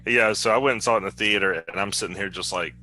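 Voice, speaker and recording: Very bright, forceful low-pitched man. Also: racing, soft background music. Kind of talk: casual anecdotal chat.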